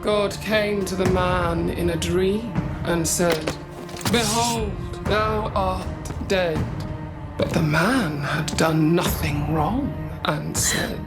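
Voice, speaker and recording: A woman voicing a menacing, mocking nightmare spirit in a horror game, theatrical, deliberate and scornful. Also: lowish voice, over loud music. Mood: mocking, portentous. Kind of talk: dramatic horror game acting.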